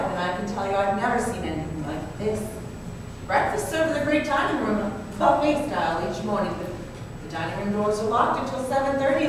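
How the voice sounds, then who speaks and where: A woman's voice: a little high, close to an everyday voice. A woman playing a naive, anxious, wealthy young wife who confides hesitantly in an old-time radio drama. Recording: noisy, echoey hall from far off.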